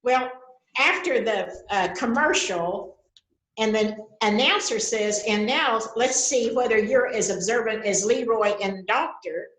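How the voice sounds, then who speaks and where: Woman at a group rehearsal, kinda high voice, echoey room, just chatting. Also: measured pace, fairly bright tone. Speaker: participant in a readers theater rehearsal. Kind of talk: casual questions and chat. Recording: echoey room, distant from the mic.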